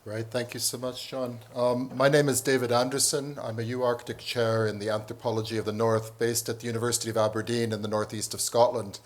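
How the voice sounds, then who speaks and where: A middle-aged man from northern Canada, a professor giving a conference talk, full of pauses and restarts. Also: mid-range voice, little pitch movement. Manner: hesitant, many ums and restarts. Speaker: professor and panel speaker.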